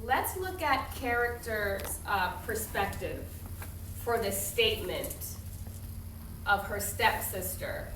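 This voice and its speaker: A woman teacher doing an interactive read-aloud for a young class, instructive and questioning, with expressive storybook reading. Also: mid-range voice, slow. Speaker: primary school teacher. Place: classroom with pupils. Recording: distant echoey classroom, some noise.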